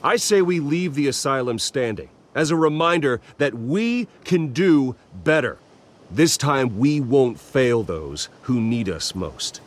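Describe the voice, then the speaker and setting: An American actor playing a composed, wealthy philanthropist in a video game, speaking in a measured, earnest and proud way. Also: male voice, mid-range voice, expressive. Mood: earnest, solemn. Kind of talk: video game voice acting, public speech.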